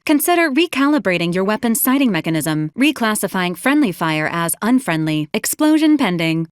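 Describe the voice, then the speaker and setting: A woman's voice: mid-range voice, no echo, lightly acted. A dutiful female robot companion from a video game, acted by a woman. She speaks in precise, formal, machine-like phrases with a clinically upbeat politeness. The sound is close and dry, with no room around it. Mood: polite concern, then alert, brisk. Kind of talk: scripted video game voice lines.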